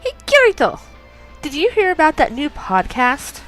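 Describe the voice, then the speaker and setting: A woman's voice: high-pitched, huge pitch swings, normal speed, poor audio. A woman playing a perky young female anime heroine in a scripted podcast advert. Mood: perky, promotional. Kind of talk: scripted promotional ad. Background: music.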